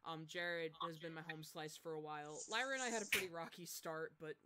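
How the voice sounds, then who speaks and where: A woman's voice: deep voice, fairly quick, very noisy. A woman leading a voice acting team, chatting on a live stream call with lots of laughter and rambling restarts. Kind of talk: casual live stream chat. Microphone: video call.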